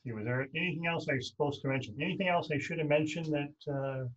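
A man's voice: fairly high pitch, quick pace, muffled recording. A middle-aged man hosting a casual video-call chat from a room at home, loose and rambling as he corrects himself mid-sentence. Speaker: host.

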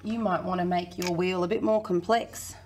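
A woman in her thirties with a croaky, hoarse voice, teaching a children's maths lesson in a friendly, encouraging way. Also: fairly low pitch, fairly even intonation, normal speed, darkish tone, slight background noise. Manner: friendly, encouraging, talks to kids. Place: small indoor room.

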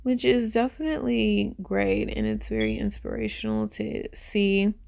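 Very creaky-voiced young woman, rambling casually. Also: mid-range voice, big pitch swings, measured pace, darkish tone. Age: 20s. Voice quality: very creaky vocal fry. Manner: rambling, informal, trailing off, filler-heavy with "like". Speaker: YouTuber reviewing a webtoon. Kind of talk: casual, unscripted review chat. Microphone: voice-over on a phone screen recording.

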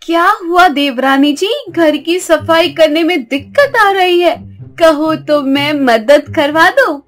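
Woman putting on a mocking, high-pitched haughty sister-in-law. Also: slow pace. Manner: taunting, boastful.

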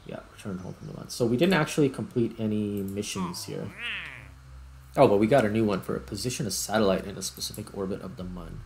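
A man giving casual, unhurried gameplay commentary, thinking aloud as he plays. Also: on the low side, vocal fry, a little mellow, slight background noise. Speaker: host, gamer.

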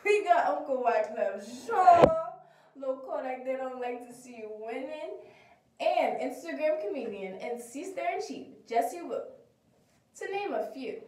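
Young woman, high voice, casual vlog chat, animated, distant mic, background noise. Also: measured pace. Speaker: vlogger and pageant contestant. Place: small indoor rooms. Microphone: phone or camera mic at a short distance. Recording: roomy, noisy, off-mic phone audio.

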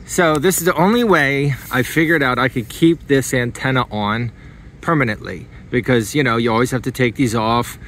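A man's voice: medium pitch, normal speed, fairly bright tone. Man casually explaining, outdoor noise behind him. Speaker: hobbyist demonstrating an antenna installation. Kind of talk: casual unscripted walkthrough. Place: outdoors beside a car in a residential driveway. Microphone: handheld phone filming the car.

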